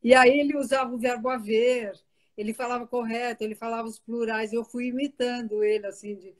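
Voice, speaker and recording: An older woman who grew up in Italy and learned Portuguese as a child, reminiscing in a relaxed interview, chatty and laughing often, sometimes trailing off and repeating words. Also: fairly high pitch, brisk. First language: Italian. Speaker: guest author.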